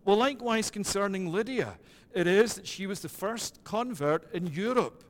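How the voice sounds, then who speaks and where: A middle-aged Scottish pastor delivers a measured, deliberate sermon in a fairly high voice. His words ring out with a long reverb trailing off the walls of the sanctuary. He talks in steady, patterned phrases. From Scotland. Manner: measured preaching with repeated "it is that" phrasing.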